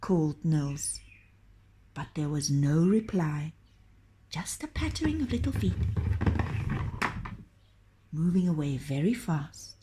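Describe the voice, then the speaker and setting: A woman narrating a children's story in an expressive, dramatic and deliberate way. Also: deep voice, noisy background.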